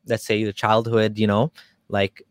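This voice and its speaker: A man's voice: fairly low pitch, completely flat delivery, a little slow, no echo. A man hosting a casual livestream interview, chatty and upbeat with plenty of fillers. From Kenya. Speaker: podcast host.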